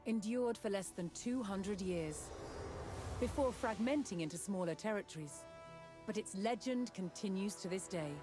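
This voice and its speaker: A woman delivers scripted documentary narration in a measured, dramatic storytelling manner. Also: mid-range voice. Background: background music.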